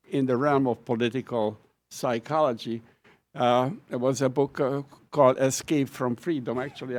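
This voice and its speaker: An older man from Hungary with a Hungarian accent, a retiring professor telling wry, self-deprecating stories about his life to a lecture audience. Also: mid-range voice. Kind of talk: personal reminiscence at a lecture event.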